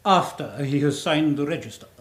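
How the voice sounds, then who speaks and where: Actor as officious, higher-voiced vault manager, echoey. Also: male voice, moderate pace, a bit bright, close to an everyday voice. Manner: formal, precise, procedural. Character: stiff, officious male safe-deposit vault manager, guarded about clients. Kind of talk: radio drama acting. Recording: echoey room with faint noise.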